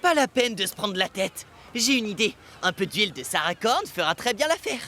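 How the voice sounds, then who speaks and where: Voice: mid-range voice, big pitch swings, normal speed, pushed and bright. A performer voicing an easygoing, self-assured youthful guide in a French children's cartoon, reassuring and a little boastful. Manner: laid-back, reassuring, boastful. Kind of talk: French animated children's series dubbing.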